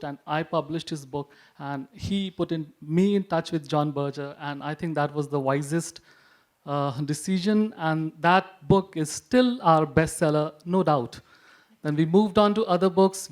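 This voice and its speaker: A man's voice: a little high, measured pace. A man from Maharashtra whose first language is Punjabi, presenting on a panel with wry humour and many hesitations. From Maharashtra, India. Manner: wry, joking, with frequent "uh" hesitations. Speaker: publisher presenting.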